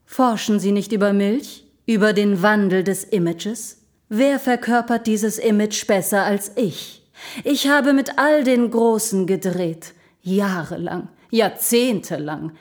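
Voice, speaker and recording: An actress playing a vain, seductive milk carafe in an audio drama, purring and condescending like an aging advertising diva. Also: female voice, mid-range voice, slow pace, a little mellow, close to an everyday voice. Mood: haughty. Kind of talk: scripted audio drama acting.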